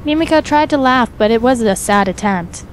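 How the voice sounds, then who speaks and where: Female narrator for a fan fiction audiobook: higher-pitched voice, scripted storytelling, slight background noise. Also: animated, normal speed.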